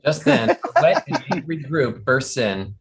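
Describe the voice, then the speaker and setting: A narrator reading out scripted stage directions at an animated comedy table read. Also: mid-range voice, measured pace, gravelly, a little hiss or hum.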